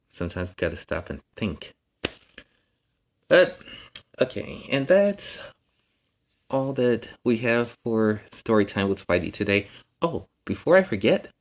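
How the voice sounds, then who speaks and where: A man playing a friendly, earnest young superhero who gently explains things as a children's story time host. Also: deep voice. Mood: friendly, explaining, then friendly, signing off. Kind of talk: informal talk to an online audience.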